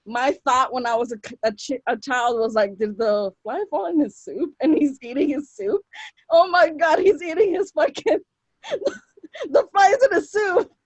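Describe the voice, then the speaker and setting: A woman on a casual movie review panel, animated and laughing as she recalls things. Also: sits high, moderate pace, no room sound. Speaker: podcast panelist.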